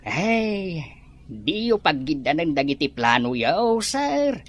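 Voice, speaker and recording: A woman's voice: sits low, big pitch swings, slow, highs cut off, heavily acted. A woman voicing a worried, deferential older male servant who cautions his master in an Ilocano audio drama.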